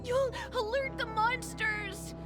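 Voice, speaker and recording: A very high, squeaky little game creature, whimpering and panicky. The delivery drags out in melodramatic fear, with loud music behind it. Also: female voice, steady tone, takes it slowly. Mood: panicked despair. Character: small hysterical frightened creature, terrified of monsters. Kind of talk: video game character acting.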